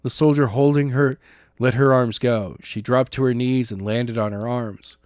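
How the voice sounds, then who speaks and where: A man narrating a novel chapter in a measured, amateur audiobook style. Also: medium pitch, steady tone, a little mellow, no room sound, clean studio sound. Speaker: podcast narrator and co-host.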